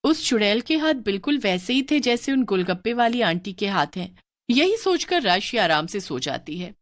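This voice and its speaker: A woman narrating a scripted horror story in a steady storybook manner. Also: mid-range voice, normal speed, no echo, studio quality.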